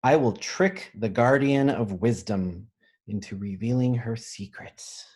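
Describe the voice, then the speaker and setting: A man reading a children's picture book aloud as a teacher, pausing to think aloud and explain things simply. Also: lowish voice, darkish tone, breathy edge. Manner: pauses to pose questions and think aloud.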